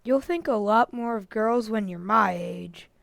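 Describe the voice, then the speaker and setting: A woman's voice: medium pitch, a little slow, gravelly, no room sound. Woman playing a bossy older brother, dry sound. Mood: superior, matter-of-fact. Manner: superior, matter-of-fact. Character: bossy, know-it-all older boy, the Nelsons' son. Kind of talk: old-time radio comedy acting.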